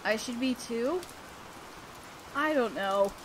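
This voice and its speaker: A woman playing an awkward, earnest teenage girl student council president in a romantic audio roleplay, halting and bashful as she talks. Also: fairly high pitch, animated, slight background noise, poor audio. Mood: unsure, vulnerable. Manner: halting, bashful, breaking off mid-sentence. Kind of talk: romantic audio roleplay acting, one-sided dialogue. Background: rain sounds.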